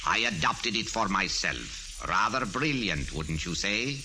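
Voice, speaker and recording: An actor in 1940s radio style playing a megalomaniac cult villain, grandiose, pompous and coldly polite in formal, theatrical speech. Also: male voice, lowish voice, lively intonation, unhurried, noisy background. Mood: smug, menacing. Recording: vintage 1940s radio with organ music.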